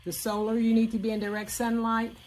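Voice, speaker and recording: A woman giving a casual, explanatory product demonstration in plain words, often pausing mid-sentence. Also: on the high side, completely flat delivery, a little mellow. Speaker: product reviewer.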